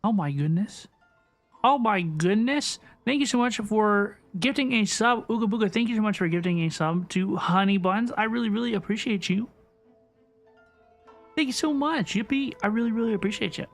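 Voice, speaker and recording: A woman streams a video game in a mid-pitched voice. She is chatty and effusive, casually answering viewer messages. Faint game music plays underneath. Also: normal speed. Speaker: game livestreamer. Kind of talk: casual livestream chat and game reaction.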